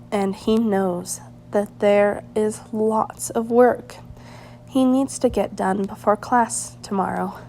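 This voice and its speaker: Slow-paced woman reading a story aloud. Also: medium pitch, darkish tone, a little hiss or hum. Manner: halting reading with stumbles and repeated phrases. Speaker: fan fiction reader. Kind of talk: storybook-style narration read aloud.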